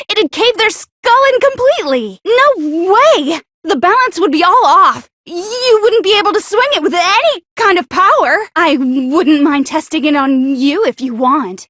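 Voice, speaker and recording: An actress playing a paranoid, lovestruck teenage schoolgirl with a sharp tongue in an anime video game, stammering and accusatory in her dramatic delivery. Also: very high-pitched, lively intonation, measured pace, hardly any pauses, no echo, clean studio sound, heavily acted. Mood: indignant, shrill, then snide, argumentative.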